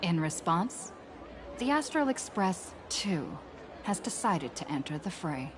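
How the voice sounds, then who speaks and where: Low-voiced epic game storyteller. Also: female voice, very animated, noisy background. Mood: solemn, measured. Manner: formal, measured storytelling. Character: female storyteller recapping an epic fantasy game saga. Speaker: story recap narrator. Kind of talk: scripted dramatic game narration. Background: game music.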